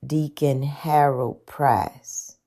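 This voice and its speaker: A woman voicing a frail, bedridden woman who murmurs slowly and dreamily, wistful and tender, in an audio story. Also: deep voice, fairly even intonation, warm tone, pitched below the performer's own voice, exaggerated voice. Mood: faint, solemn.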